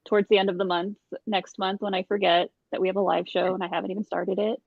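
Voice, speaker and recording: A woman's voice: mid-range voice, level tone, fast, vocal fry, dry recording. Casting: female book club panelist, mid-range voice, conversational, on somewhat dull-sounding audio. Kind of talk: casual book chat.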